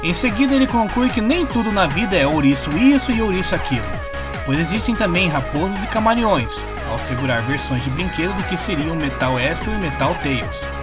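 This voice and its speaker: A man's voice: high voice, expressive, a little fast. A man with a Brazilian accent reading a scripted retelling of a story as its narrator. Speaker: narrator of comic story summaries. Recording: music clearly playing behind the voice. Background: background music.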